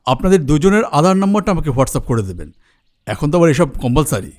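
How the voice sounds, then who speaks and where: Helpful younger fella in audio drama, brisk n familiar, highish animated voice. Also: male voice, a little hiss or hum. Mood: practical, helpful. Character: helpful, practical younger male family acquaintance. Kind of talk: Bengali audio horror story acting.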